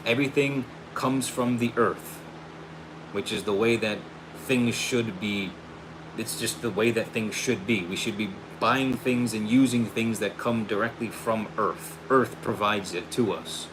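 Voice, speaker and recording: Chatty man, air conditioner humming. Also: a little slow. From United States. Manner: upbeat, enthusiastic pitch with friendly asides. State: says he is losing his voice. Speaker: channel host selling his own hair product. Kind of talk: casual livestream chat, reading viewer questions. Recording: steady air conditioning hum behind.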